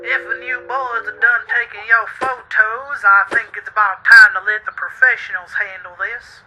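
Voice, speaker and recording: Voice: mid-range voice, steady tone, moderate pace, sharp tone, slight background noise, rough recording. Actor as self-important male deputy, folksy, piercing tone, vintage radio tape. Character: cocky, folksy male small-town deputy. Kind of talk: 1950s radio drama acting.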